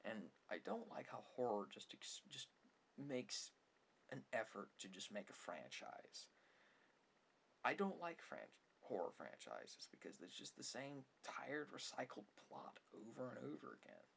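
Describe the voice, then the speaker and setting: A man giving a rambling ASMR opinion talk with a husky, gravelly voice, halting and emphatic, often repeating and rephrasing himself. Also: mid-range voice, big pitch swings, fairly bright tone, a little hiss or hum. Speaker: ASMR video creator.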